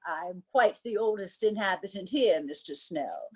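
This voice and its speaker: Woman playing a husky, imperious old matriarch. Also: mid-range voice, animated, normal speed. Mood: grandly reminiscing. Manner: grand, commanding, condescending. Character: imperious, haughty, ancient matriarch of eerie store dwellers. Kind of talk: radio drama acting.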